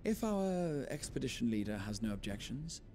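An actor playing an earnest, kindly young male adventurer in a fantasy game, speaking in a courteous, measured and formal way. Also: medium pitch, big pitch swings, mellow, soft background music, natural voice. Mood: polite, earnest. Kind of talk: scripted fantasy game voice acting.

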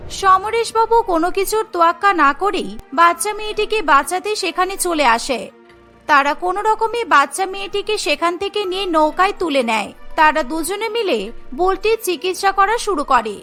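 Bright, high-pitched woman narrating a story. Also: expressive, moderate pace, soft background music. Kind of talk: scripted cartoon story narration.

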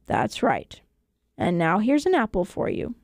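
A kindly old cobbler man from a storybook, voiced by a woman. He is pious and earnest, correcting others gently. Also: mid-range voice, wildly swooping pitch, unhurried, dry recording, clean studio sound. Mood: gently firm.

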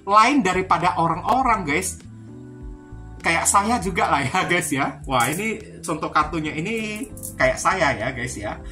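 Bright-voiced, low-pitched woman reading tarot. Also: expressive, a little slow. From Indonesia. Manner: chatty, repetitive, addresses viewers often. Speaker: tarot reader. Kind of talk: informal card-reading talk to viewers. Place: indoors at a card table. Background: music.

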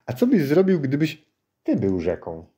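A man's voice: medium pitch, huge pitch swings, moderate pace, warm tone. A man asking children simple, open questions as an interviewer. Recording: roomy, echoing interview recording.

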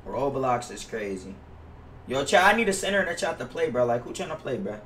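A man's voice: medium pitch, very animated, a little fast, fairly bright tone. Young American man streaming, roomy sound. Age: young adult. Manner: slangy, profane, complaining. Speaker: livestreamer playing a basketball video game. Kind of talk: casual livestream chat with viewers. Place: indoors at a gaming setup. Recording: roomy, distant mic with faint noise.

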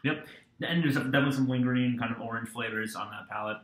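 A man's voice: mid-range voice, steady tone, quick pace, fairly bright tone, reverberant. A young man in his twenties casually reviewing a beer, rambling with lots of ums and restarts, filmed on a webcam at a desk in a small room. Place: small dorm-like room indoors.

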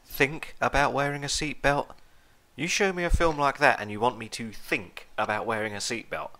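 A man's voice: mid-range voice, normal speed, sharp tone. A man narrating a scripted comic review in a sardonic manner. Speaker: video reviewer.

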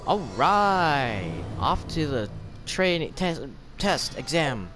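A man's voice: on the high side, very animated, extremely slow, over music. A man giving casual gameplay commentary as he plays. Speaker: gamer. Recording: noisy home gameplay mic.

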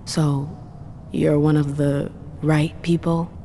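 A woman's voice: low voice, level tone, slow, mellow, a little hiss or hum. A woman playing a cool, world-weary vampire club owner in a video game, sardonic and self-assured. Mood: dry, challenging.

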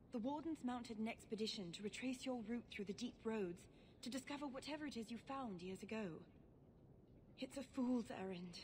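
A woman's voice: a little high, a little mellow, slight background noise, close to an everyday voice. A woman playing an anxious, formal noblewoman in a fantasy video game, earnest and pleading.

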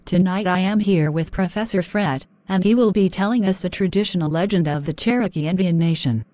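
A man's voice: high voice, fairly quick, a little mellow, no room sound, pitched above the performer's own voice, close to an everyday voice. A man voicing a polite female talk show host. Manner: polite, inquisitive questioning. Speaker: interviewer.

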